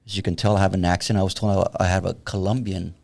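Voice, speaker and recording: Man in his fifties, somewhat low voice, wry and plain-spoken, podcast guest. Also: little pitch movement, fast talker, vocal fry, a little mellow. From San Antonio, Texas. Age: 50s. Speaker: guest, tactical police trainer. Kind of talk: casual podcast conversation.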